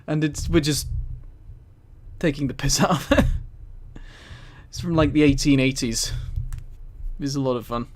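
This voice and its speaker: A man's voice: fairly high pitch, a little slow, long pauses. A man casually reading aloud on a livestream and commenting, easily amused and giggly. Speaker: streamer.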